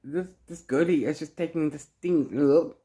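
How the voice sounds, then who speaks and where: A man's voice: fairly high pitch, measured pace, warm tone. A man chatting casually as a book vlogger, rambling along in a playful, theatrical way. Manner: rambling, trails off into blah blah and la-la fillers. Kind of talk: casual, chatty reading vlog.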